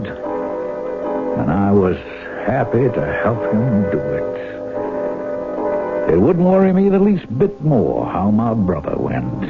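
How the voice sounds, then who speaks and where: An actor playing a menacing, obsessive older farm brother in a 1970s radio drama, folksy, insistent and brooding. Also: male voice, on the low side, full character voice. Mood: cold, scheming. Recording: muffled 1970s radio broadcast, music behind.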